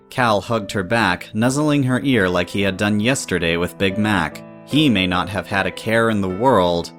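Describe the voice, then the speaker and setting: A woman reading scripted third-person story narration as the narrator. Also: extremely low pitch.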